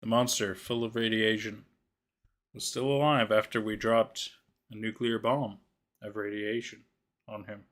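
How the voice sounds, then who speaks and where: A man's voice: fairly low pitch, level tone, measured pace, a bit bright. A man hosting a livestream, chatting casually and reacting with playful, joking asides. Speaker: livestream host.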